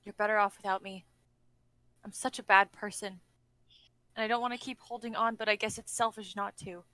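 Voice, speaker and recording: A woman's voice: mid-range voice, steady tone, fairly quick, dry recording, lightly acted, poor audio. A woman playing a bitter, despairing, self-loathing young woman kidnapper in a dramatic script reading, volatile and brooding. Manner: broken muttering that snaps into shouting.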